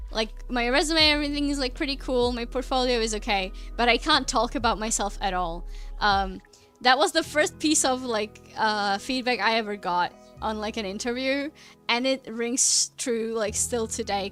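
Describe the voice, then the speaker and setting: Young woman, high voice, rambling streamer chat, frequent laughs, faint music behind. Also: sharp tone. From Czech Republic. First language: Czech. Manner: rambling, laughs often, searches for words, swears. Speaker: artist streamer. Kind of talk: casual chat while drawing.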